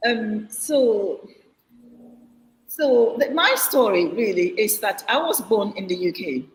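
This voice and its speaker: A woman's voice: medium pitch, lively intonation, takes it slowly, a little hiss or hum. A middle-aged woman with a Ghanaian accent speaks over a video call. She sounds earnest and reflective and often restarts her sentences. Her voice carries a roomy echo with a long reverb tail. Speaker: guest. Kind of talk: interview answer.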